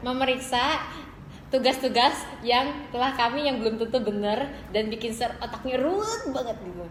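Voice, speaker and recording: Young woman interviewing, fairly high voice, echoey. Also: animated, normal speed. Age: young adult. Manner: informal, chatty. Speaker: student radio interviewer. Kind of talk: casual interview. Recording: echoey room with clear background noise.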